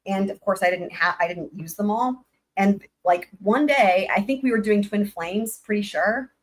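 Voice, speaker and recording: A woman hosting a livestream in casual chat, rambling with many fillers and greeting viewers by name with upbeat shout-outs. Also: medium pitch, normal speed, poor audio. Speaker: livestream host.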